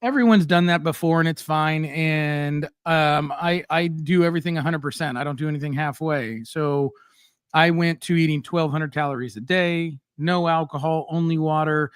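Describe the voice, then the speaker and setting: Guy hosting a live stream, kinda high voice, blunt and animated, dry close sound. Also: normal speed, clean tone, no room sound. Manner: animated, blunt storytelling with frequent fillers. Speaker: host. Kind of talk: casual live-stream chat.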